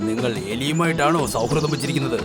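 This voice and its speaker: A man voicing an arrogant, boastful male cheetah who mocks others in an animated fairy tale. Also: medium pitch, fast talker, lightly acted. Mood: mocking. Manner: boastful, taunting. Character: arrogant mocking male cheetah, proud of his speed. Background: loud music.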